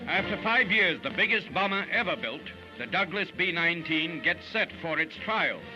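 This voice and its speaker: High-pitched 1940s American newsreel man. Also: lively intonation, moderate pace, dull audio. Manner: dramatic, punchy delivery. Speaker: newsreel narrator. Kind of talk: 1940s newsreel narration, scripted. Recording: band-limited 1940s newsreel soundtrack, loud music. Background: loud newsreel music.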